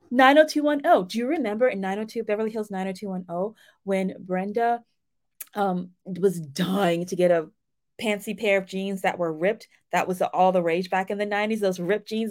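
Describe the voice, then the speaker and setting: Chatty middle-aged woman teaching informally. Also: medium pitch. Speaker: writing instructor and romance novelist. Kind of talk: informal explanatory video lesson.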